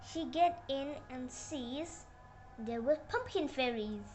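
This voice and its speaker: A young girl telling a children's moral tale in a halting way, with simple non-native phrasing. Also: high voice, lively intonation, darkish tone, soft background music. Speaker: storyteller. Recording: noisy room with background ambience.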